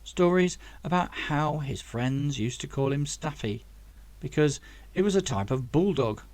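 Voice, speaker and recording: A man's voice: on the high side, expressive, measured pace, a little mellow, no echo. A man reading a story aloud as scripted audiobook narration, as the author of the book.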